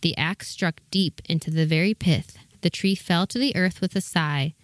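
A woman's voice: on the low side, moderate pace, no echo. A woman reading scripted storybook narration as the narrator of a fairy tale.

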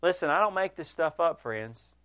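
A man's voice: on the high side, lively intonation, moderate pace, pushed and bright. A male pastor preaching a sermon, earnest and direct, addressing the congregation with frequent rhetorical questions.

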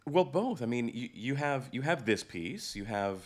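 A man's voice: medium pitch, sing-song, moderate pace. A man hosting a conversational interview, thoughtful and reflective, repeating and rephrasing as he goes. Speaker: podcast host, interviewer.

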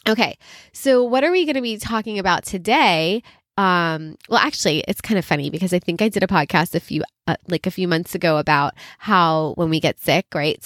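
A woman's voice: fairly low pitch, no room sound, studio quality. A woman in her thirties hosting her own podcast, talking casually in a chatty, upbeat, rambling way with a nasal, slightly scratchy voice from a cold. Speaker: podcast host. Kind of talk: casual solo podcast chat.

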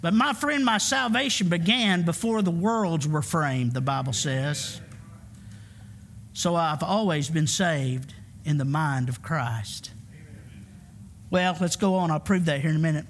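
A man's voice: a little high, unhurried, a bit bright, slight background noise. A man, preaching a sermon emphatically and calling for answers from the congregation. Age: 50s. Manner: emphatic, call-and-response preaching. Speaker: pastor. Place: church.

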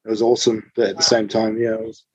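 A man, a musician guest telling tour stories in casual interview chat, relaxed and full of ums and the odd swear. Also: lowish voice, fast, breathy edge, dry recording. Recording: slightly dull dry recording.

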